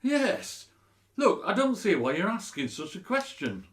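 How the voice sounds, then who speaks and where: A middle-aged husband in a radio comedy, flustered and defensive. His intonation is lively and jumps about, and he speaks hesitantly, turning indignant when challenged. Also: high-pitched, a little slow, exaggerated voice. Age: 40s. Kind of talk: radio play comedy acting.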